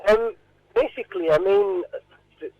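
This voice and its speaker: A man answering informally in a radio interview, halting and often repeating his words. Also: sits high, no echo, muffled recording.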